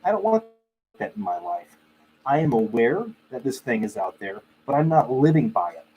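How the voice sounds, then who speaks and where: A man's voice: mid-range voice, big pitch swings, normal speed, darkish tone. Man chatting casually over noisy audio. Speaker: livestream host. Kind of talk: casual chat with live viewers. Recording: clearly noisy live stream audio.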